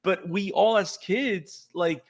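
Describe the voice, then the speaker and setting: A man from Puerto Rico, a native Spanish speaker with a Puerto Rican accent, talking excitedly as a podcast co-host in casual chat. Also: high voice, slow pace. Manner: excited, repeats words when fired up.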